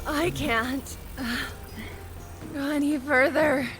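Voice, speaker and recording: A woman's voice: high voice, fairly even intonation, takes it slowly, over music. A woman playing a determined, weary young woman airship engineer in a fantasy audio drama. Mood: exhausted.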